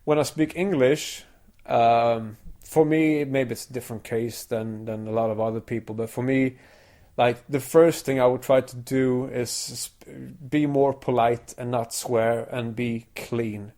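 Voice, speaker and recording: A man's voice: mid-range voice, steady tone, a little slow, darkish tone. A man from Sweden whose first language is Swedish, hosting a podcast in casual chat and often repeating words as he gets going. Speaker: podcast host.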